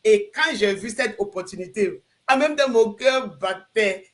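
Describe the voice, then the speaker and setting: A woman's voice: mid-range voice, animated, unhurried. A woman from Burkina Faso hosts a live online chat, hesitating and restarting her phrases. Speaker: livestream host.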